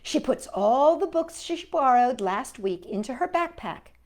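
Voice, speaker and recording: A woman's voice: sits high, animated, measured pace, darkish tone. A woman hosting a children's storytime, reading a picture book expressively and chatting to young listeners in asides.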